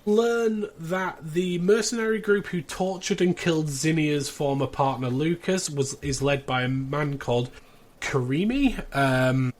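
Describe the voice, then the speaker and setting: A man's voice: on the high side, unhurried. A British man hosting a podcast, casually recapping a plot in a chatty way with wry asides. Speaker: podcast host.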